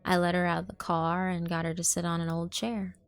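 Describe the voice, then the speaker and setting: A woman narrating a first-person horror story in a measured, dark way, with hushed asides. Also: on the low side, monotone. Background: faint background music.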